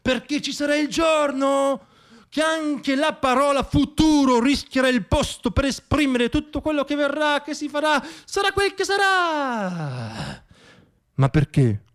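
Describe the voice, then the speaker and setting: High-pitched man reciting a theatrical monologue. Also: animated. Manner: declamatory, wordplay lists with dramatic pauses. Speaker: solo stage actor and storyteller. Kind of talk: theatrical radio monologue, rhythmic poetic recitation.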